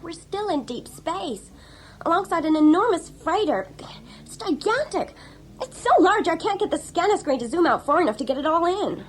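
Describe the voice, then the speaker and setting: A woman's voice: very high-pitched, sing-song, moderate pace, slight background noise. An actress playing a sarcastic young female travelling companion, spirited and emphatic, in a 1980s radio drama. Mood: worried. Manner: sardonic, emphatic.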